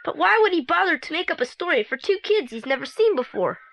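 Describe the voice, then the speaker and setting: An actor playing an anxious, excitable teenage boy sidekick in an audio drama, plain-spoken and given to breathless exclamations. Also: very high voice, quick pace, vocal fry, a little hiss or hum, heavily acted. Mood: puzzled.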